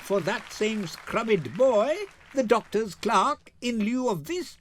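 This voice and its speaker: A man's voice: sits high, lively intonation, slow pace, a faint crowd, slight background noise, voice pushed up. An older man voicing a saucy, teasing young waiting-woman in a theatrical Shakespeare reading. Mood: mischievous. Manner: playful, theatrical.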